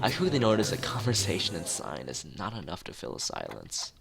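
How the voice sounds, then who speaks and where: A streamer reading a visual novel aloud in a halting way, stumbling and going back over phrases, with asides to chat. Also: low-pitched, huge pitch swings, vocal fry. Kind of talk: live read-aloud narration with chat asides. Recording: music playing behind the voice.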